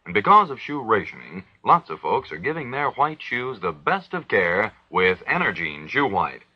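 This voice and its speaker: American guy reading an old radio ad, super lively intonation, muffled dry 40s recording. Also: lowish voice, normal speed, no echo. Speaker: commercial announcer. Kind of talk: 1940s radio advertising read.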